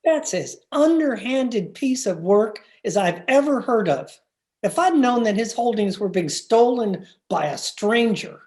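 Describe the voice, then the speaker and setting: A woman playing a haughty, disapproving, stuffy married gentleman in an old-time radio drama. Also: a little high, lively intonation, measured pace. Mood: haughty, dismissive.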